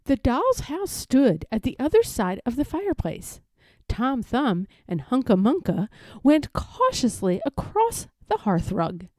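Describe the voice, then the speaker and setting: Lively, high-voiced woman reading a children's story. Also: measured pace, no room sound. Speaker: storybook reader. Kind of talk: expressive read-aloud of a children's story.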